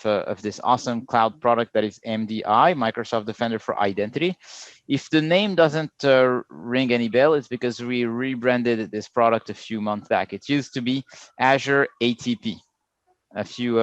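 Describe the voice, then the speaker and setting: A middle-aged Frenchman speaks in easy, filler-laden explanation, his accent clear through the chat. The sound is dry and close, with a slightly muffled, lo-fi edge from a remote call mic. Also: medium pitch, no room sound. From France. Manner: explanatory, relaxed, many "uh" fillers. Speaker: guest product manager. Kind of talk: casual podcast chat.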